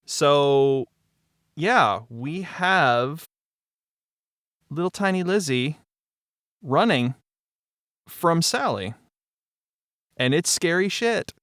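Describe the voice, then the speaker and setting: A man talking casually as a show's creator and audio editor, halting and often repeating phrases. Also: on the high side, expressive, fairly bright tone, no echo, clean studio sound. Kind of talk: casual behind-the-scenes talk.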